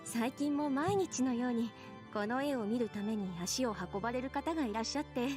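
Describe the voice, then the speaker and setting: A woman playing a polite, gentle young nun in a Japanese visual novel. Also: a little high, racing, over music, close to an everyday voice. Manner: polite, gentle, softly formal.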